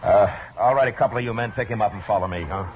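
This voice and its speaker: Man playing a deep-voiced frontier marshal. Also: lightly acted. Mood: urgent, commanding. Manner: measured, plain-spoken questioning. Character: steady, authoritative Old West US marshal. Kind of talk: 1950s radio western acting. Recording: noisy, muffled old radio recording.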